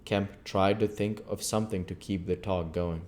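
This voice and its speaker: A man's voice: deep voice, fairly even intonation, moderate pace, a little mellow, a little hiss or hum. A man narrating a classic novel as an audiobook reader. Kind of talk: storybook narration.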